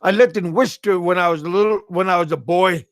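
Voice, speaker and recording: A middle-aged American man chatting casually on a live stream, halting and repeating his words. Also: high-pitched, dry recording, studio quality. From USA. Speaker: livestream host.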